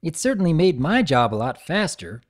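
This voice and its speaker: A man voicing a small, cheeky boy dragon with a squeaky, cocky, excitable manner. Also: moderate pace.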